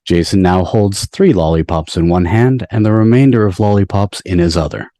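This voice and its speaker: A man with a deep voice reads scene directions from a script. The sound is dry, with little room in it, like a home video call. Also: moderate pace, warm tone, dry recording. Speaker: stage directions reader. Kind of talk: scripted narration at a table read. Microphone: video call microphone.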